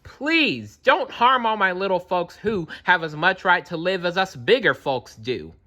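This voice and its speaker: A man's voice: sits high, expressive, a little slow, pushed and bright, voice pushed up, full character voice. A man voicing a kindly, worried male elephant in a read-aloud storybook, pleading and earnest.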